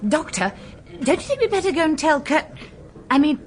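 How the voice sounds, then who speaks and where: A woman's voice: high-pitched, very animated, fairly quick. An actress playing a spirited, teasing and caring young woman in a radio drama. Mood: urgent.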